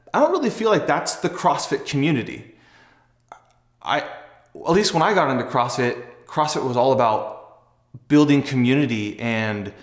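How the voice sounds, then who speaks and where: Young man, roomy echoing sound. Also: mid-range voice. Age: young adult. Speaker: athlete and video host. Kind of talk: casual personal storytelling to camera.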